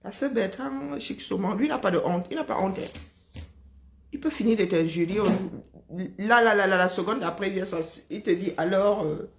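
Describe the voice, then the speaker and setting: A woman from Cameroon with a Cameroonian French accent, chatting casually and telling lively stories, often breaking into laughter. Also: medium pitch, moderate pace, creaky. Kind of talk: casual chat.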